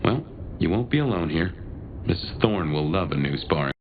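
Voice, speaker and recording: An actor voicing a courtly, amused vampire elder in a video game, with a gravelly voice and a theatrical, genteel delivery. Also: male voice, low voice, lively intonation, normal speed. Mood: amused appraisal. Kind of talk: video game dialogue acting. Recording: muffled, noisy game audio.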